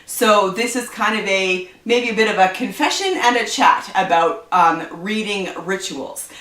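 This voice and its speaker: A woman's voice: mid-range voice, lively intonation, measured pace, a bit bright, reverberant, recorded from a distance. A woman chatting casually about her reading habits on her book channel, stuffed up from allergies and rambling with lots of fillers. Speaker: book vlogger. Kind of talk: casual chat to camera.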